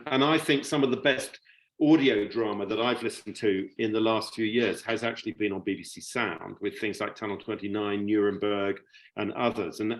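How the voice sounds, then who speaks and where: A man's voice: on the low side, rough recording. A middle-aged man in an informal panel discussion, speaking hesitantly and often repeating his words. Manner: hesitant, stammering repetitions of words. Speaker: media producer, panellist.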